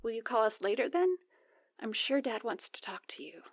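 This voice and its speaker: A man puts on a middle-aged mother for an audiobook, pitched well up and softly fretful. Words are drawn out in a chatty, fussing way. The sound is dry and a little dull. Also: very animated, normal speed, gravelly, no room sound, heavily acted. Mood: concerned. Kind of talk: audiobook character dialogue.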